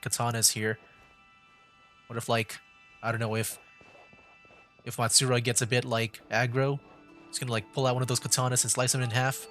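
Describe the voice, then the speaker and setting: A man's voice: mid-range voice, fairly bright tone. A man giving casual livestream game commentary, musing aloud in an informal way. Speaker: gaming streamer.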